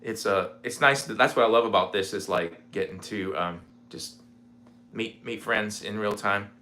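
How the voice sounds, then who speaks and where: A man in his forties chatting casually on a home livestream, rambling with lots of fillers, with a mellow voice. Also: fairly low pitch, normal speed, slight background noise. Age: 40s. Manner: rambling, relaxed, many fillers. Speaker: livestreaming guitarist. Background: guitar playing.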